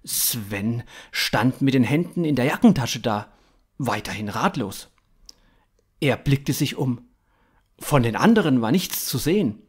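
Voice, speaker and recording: A man in his thirties narrating scripted fiction in a dramatic, expressive way. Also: medium pitch, measured pace, breathy edge.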